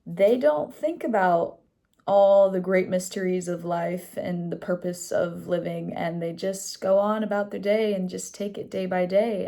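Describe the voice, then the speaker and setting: A woman's voice: on the low side, vocal fry, darkish tone. A young woman chatting casually in a vlog about her day and a book she is reading. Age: young adult. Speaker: vlogger.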